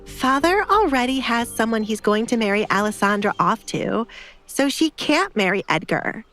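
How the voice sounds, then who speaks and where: A woman's voice: fairly high pitch, lively intonation, normal speed, fairly bright tone, faint music behind. A woman playing a jealous, squeamish young noble daughter in an audio drama, whiny and indignant. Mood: scheming.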